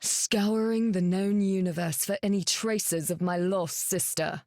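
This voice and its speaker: A woman's voice: medium pitch, steady tone, unhurried, a little mellow, dry recording, clean studio sound, natural voice. A woman playing a stern, proud warrior goddess in a video game, speaking in a formal, archaic, severe way. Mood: stern, resolute. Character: stern, proud warrior goddess, fierce protector of her sister. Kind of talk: video game character voice acting.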